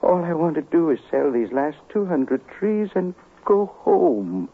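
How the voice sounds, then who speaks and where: An actor playing a weary, complaining American tree seller in a 1950s radio comedy. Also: male voice, fairly high pitch, very animated, measured pace, husky, exaggerated voice. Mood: exasperated. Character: weary, grumbling male Christmas tree seller. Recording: thin phone-like 1950s radio recording.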